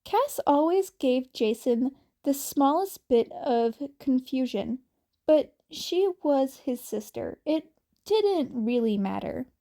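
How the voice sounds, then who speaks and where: A woman with a somewhat high-pitched voice narrates fan fiction. She goes very slowly, with an informal, slightly halting delivery, like an amateur audiobook reader. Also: lively intonation, mellow.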